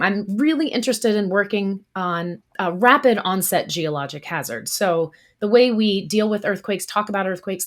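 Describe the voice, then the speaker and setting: A woman from the US East Coast, a guest in a podcast interview, telling her story in a lively, expressive way. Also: fairly low pitch. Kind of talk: casual interview talk.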